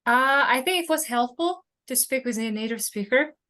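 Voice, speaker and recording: A woman's voice: sits high, expressive, normal speed, pushed and bright. A woman teaching an online speaking lesson over a video call, explaining with many fillers.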